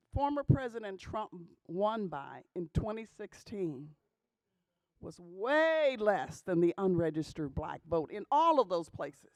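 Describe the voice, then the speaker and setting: A woman's voice: mid-range voice, dry recording. A woman addressing a group of pastors in an emphatic talk, often checking in with "okay?" and asking rhetorical questions. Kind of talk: emphatic talk to a church audience.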